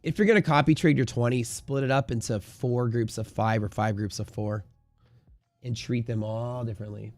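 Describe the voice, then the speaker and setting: A man's voice: mid-range voice, normal speed, a little mellow, no echo, soft background music. A man hosting a livestream in casual, slangy, emphatic conversation, answering viewers and giving his opinions. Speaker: livestream host and trading community leader.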